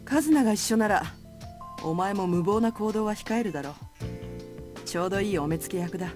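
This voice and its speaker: A woman voicing a calm, blunt, commanding woman in a Japanese visual novel, speaking with curt authority. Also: medium pitch, fast, lightly acted. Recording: game audio with music behind.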